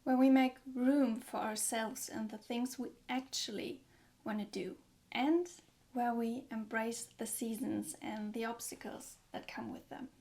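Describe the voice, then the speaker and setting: A German woman with a German accent, vlogging in a gentle, hesitant way with frequent ums while baking in her home kitchen. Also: on the high side, fairly even intonation, measured pace, echoey room. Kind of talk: soft-spoken vlog narration.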